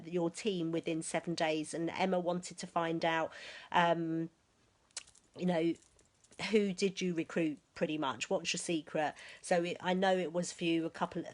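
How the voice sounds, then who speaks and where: Chatty woman leading a sales team. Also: fairly low pitch. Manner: chatty and encouraging, reads viewers' comments aloud. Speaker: sales team leader hosting a live stream. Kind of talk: casual live-stream chat.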